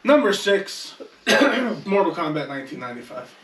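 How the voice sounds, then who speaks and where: Slightly high-pitched man, echoey room. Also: sing-song, moderate pace, a little hiss or hum. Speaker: podcast co-host moving the rankings along. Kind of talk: casual group chat. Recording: echoey room, distant from mic.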